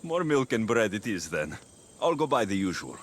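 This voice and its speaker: A gentle, wry Greek warrior in a video game cutscene. His voice is low and very expressive in its melody, with a Greek accent and an understated, dry delivery. Outdoor insect ambience sits faintly behind him. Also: moderate pace, slight background noise. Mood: wry, affectionate. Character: Alexios, tired young Greek warrior father, gentle and wry.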